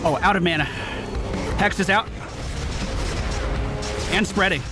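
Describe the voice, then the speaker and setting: A man's voice: sits high, a little slow. A man streaming gameplay with casual, sweary live commentary, often repeating short phrases. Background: loud game music.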